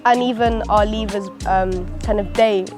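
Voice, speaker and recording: A woman's voice: mid-range voice, steady tone, unhurried, music in the background. A girl, talking casually in an interview as a school pupil, with many fillers and restarts. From East London, England. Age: late teens.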